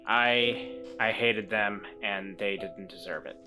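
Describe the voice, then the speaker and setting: A woman voicing a remorseful weasel man who once ran away from home, speaking in a halting, reflective way and gently encouraging others. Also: extremely low pitch, music in the background. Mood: ashamed.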